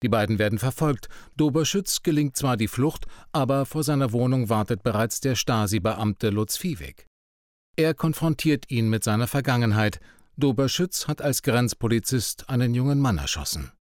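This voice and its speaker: A man reading a scripted radio drama recap as announcer, in a measured, factual manner. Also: medium pitch, animated, darkish tone, no echo, clean studio sound.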